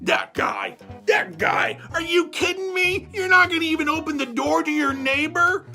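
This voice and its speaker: A storyteller plays an aggrieved big bad wolf in a children's storybook tale. The voice is bright and forceful, expressive and full of exasperated repetition as he insists he meant well. Music plays along with it. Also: very high voice, normal speed, vocal fry, exaggerated voice. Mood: aggrieved, explaining. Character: aggrieved, self-justifying big bad wolf, telling his side. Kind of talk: animated first-person storytelling for children.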